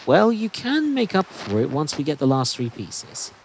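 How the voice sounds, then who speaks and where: A woman's voice: low-pitched, big pitch swings, normal speed, slight background noise. A woman voicing a firm, no-nonsense heroine in an audio drama, direct and challenging. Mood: probing. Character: firm, no-nonsense heroic keeper of magic star pieces, Maple.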